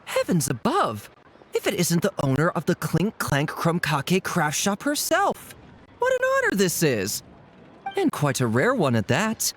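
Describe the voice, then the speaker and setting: A man's voice: fairly high pitch, wildly swooping pitch, a little slow, fairly bright tone, exaggerated voice. A polished, fawning guild merchant from a fantasy video game. He is courteous and theatrical, with wildly swooping intonation. There is faint noise behind him. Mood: gushing. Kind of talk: scripted game dialogue.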